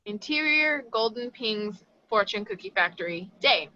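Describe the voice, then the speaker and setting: A woman's voice: mid-range voice, animated, a little slow, pushed and bright. A woman narrator reading screenplay stage directions aloud at a table read. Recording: dull, dry lo-fi audio.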